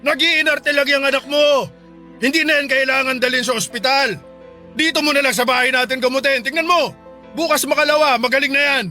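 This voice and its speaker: A man's voice: very high voice, steady tone, a little fast, pushed and bright, soft background music, full character voice. An actor playing a cruel, stingy, bullying husband and father in a radio drama. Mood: irritated, dismissive. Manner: bullying, sneering, dismissive. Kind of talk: Tagalog radio drama acting.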